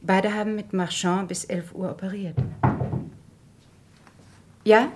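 A woman acting a composed, guarded doctor under questioning in a German radio crime drama, speaking in a measured, precise way. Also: medium pitch, steady tone, background noise, lightly acted. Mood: factual, tense.

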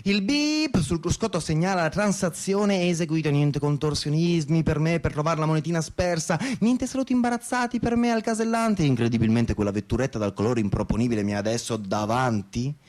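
An actor playing a bitter, sardonic young bank official in a theatrical radio monologue, listing and repeating phrases. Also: male voice, fairly high pitch, brisk, few pauses, a little mellow, natural voice. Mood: smug, dramatic. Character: bitter, sneering young bank official raging in motorway traffic. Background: music.

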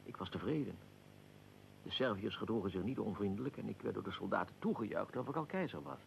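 A deep, heavily gravelled voice gives us a middle-aged archduke in a historical radio play. He is proud and aggrieved, and weighs his words with formal dignity. There is slight noise and rumble on the recording. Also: expressive, fast talker, rough recording. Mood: reflective. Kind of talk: radio drama, historical figure being interviewed.

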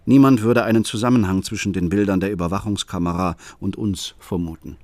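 An actor narrating a radio play as a troubled father, speaking in a calm, reflective, confessional way. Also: sits low, a little fast, no echo. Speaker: first-person narrator.

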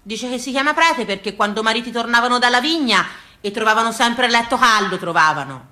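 High, bright Tuscan woman storyteller. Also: expressive, a little fast. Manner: folksy, emphatic, rhythmic delivery. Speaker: stage actress and storyteller. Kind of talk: theatrical monologue of rustic family memories. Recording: echoey, roomy sound.

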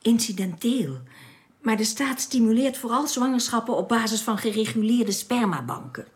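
An actress playing an earnest, tender, youthful alien woman in a radio drama, with precise, formal phrasing that often turns hushed. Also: a little high, lively intonation, normal speed, slightly rough. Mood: earnest, matter-of-fact.

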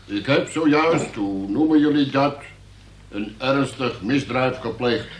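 An actor playing a dutiful police sergeant who formally and haltingly reads out a report in a radio play. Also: male voice, medium pitch, lightly acted. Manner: formal, officious, halting delivery of official report. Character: dutiful police sergeant reading out a suspect's confession. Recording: roomy, echoing radio play recording.

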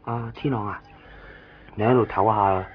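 A dull, slightly noisy old radio recording of an anxious, excitable man acted by a man, fussing over a sick friend in Hong Kong Cantonese, in 1990s radio drama style. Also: fairly low pitch, moderate pace, lightly acted. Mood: worried, subdued.

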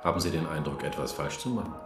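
Brief: a calm therapist, very deep, murmuring, in a roomy space with loud music playing. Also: male voice, fast talker. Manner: murmuring, noncommittal. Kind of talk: radio drama acting.

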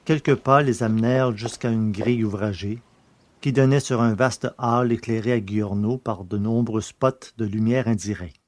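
A man narrating a science-fiction story from a script in a measured, literary way. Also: lowish voice.

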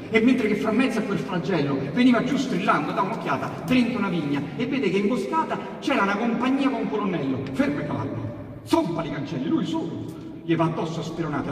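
A man reciting dialect sonnets with theatrical swings between loud outbursts and hushed asides. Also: on the high side. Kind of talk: dramatic poetry recitation on radio. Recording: echoey hall, distant mic, faint hiss.

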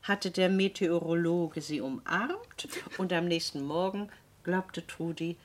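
Spiteful, domineering woman, animated delivery. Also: lowish voice, takes it slowly, slight background noise. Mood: stern revelation. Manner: theatrical, scornful, insistent. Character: domineering, spiteful middle-aged woman, mockingly exposing her friend. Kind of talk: German radio drama acting.

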